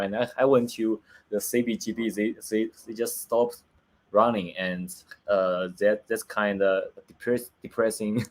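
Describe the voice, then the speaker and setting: Man with a Chinese accent, second-language English, low and slow, hesitant, on a video call. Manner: halting, searching for words, many restarts. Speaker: film director. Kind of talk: remote interview.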